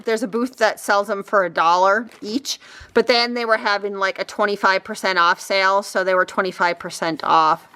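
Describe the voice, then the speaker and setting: A woman chatting casually and a bit ramblingly as she shows craft finds, her throat slightly raspy. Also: medium pitch, fairly even intonation, normal speed, pushed and bright. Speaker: crafting vlogger. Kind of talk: casual chatty haul show-and-tell.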